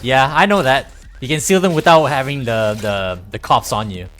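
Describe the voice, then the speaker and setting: A man's voice: medium pitch, moderate pace, a bit bright. A man livestreaming a video game, chatting casually and easygoing with viewers as he plays. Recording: music playing behind the voice. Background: game music.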